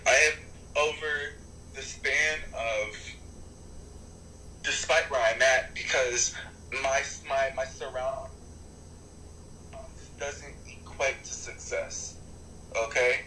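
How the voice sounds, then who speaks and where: A man who is a rapper and artist gives long, animated interview answers, often restarting his sentences and swearing for emphasis. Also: mid-range voice, slow, pauses often, echoey room, slight background noise, rough recording. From United States.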